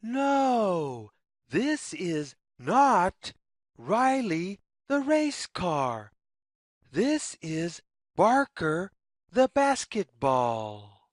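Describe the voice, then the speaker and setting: A woman reading a story aloud for young children, phrasing deliberately like a teacher and putting questions to the listener. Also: fairly low pitch, sing-song, no room sound, clean studio sound. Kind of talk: children's story read-aloud, scripted narration.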